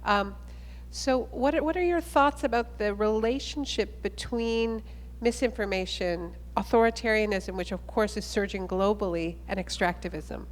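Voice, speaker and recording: A woman's voice: medium pitch, normal speed, long reverb tail. A woman moderating a conference panel, asking long, thoughtful questions. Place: conference plenary hall.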